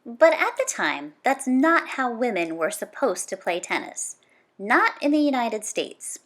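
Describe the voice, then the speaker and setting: A woman reading a children's book aloud like a teacher, in an animated, conversational way with emphatic stress on repeated words. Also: fairly high pitch, measured pace.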